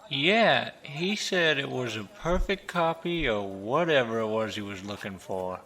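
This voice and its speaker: A man's voice: mid-range voice, animated, unhurried, bright, forceful tone. Man playing a sly, folksy old pawnbroker. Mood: gossipy. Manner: folksy, colloquial, teasing. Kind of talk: audio drama acting.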